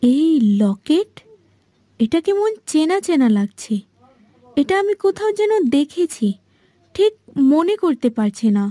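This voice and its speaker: A woman voicing a trusting, puzzled young girl in a fairy-tale cartoon, with a soft, wondering manner. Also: high-pitched, very animated, a little slow, long pauses, no room sound, clean studio sound. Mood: puzzled, musing.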